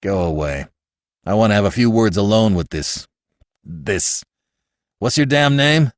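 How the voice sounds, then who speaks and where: A man voices a gruff older millionaire father who grumbles in curt, bitter phrases. The voice drops low and quiet. The sound is dry and close. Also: expressive, unhurried, dry recording. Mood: irritated.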